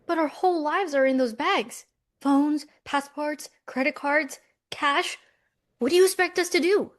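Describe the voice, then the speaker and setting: No-nonsense young American twin sister, acted by a woman, impatient and practical, slightly high voice, romcom script read. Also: measured pace. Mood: exasperated. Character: pragmatic, exasperated millennial American female twin. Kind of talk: comedic table read.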